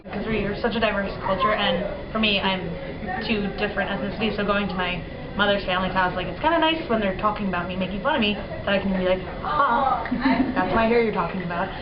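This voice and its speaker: Young woman, quick casual storytelling, echoey dull audio. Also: mid-range voice, over a noisy crowd. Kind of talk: casual anecdote in interview. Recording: echoey, muffled, very noisy.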